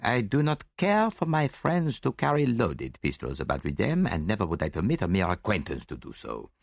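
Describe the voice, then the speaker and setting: Fussy polite detective, very animated, mocking, mystery audiobook. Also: male voice, mid-range voice, normal speed, breathy edge, no room sound, studio quality, heavily acted. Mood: mild reproof. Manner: courteous, mildly reproving, theatrical. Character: theatrical, shrewd male foreign detective, courteous and mocking.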